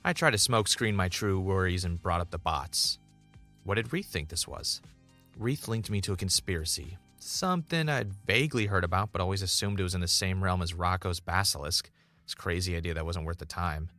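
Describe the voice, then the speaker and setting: A man's voice: low voice, a little fast, bright, forceful tone. A man playing a pessimistic, suspicious gamer, telling his story as introspective, dramatic first-person narration in a fiction podcast. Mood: uneasy, suspicious. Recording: music under the voice.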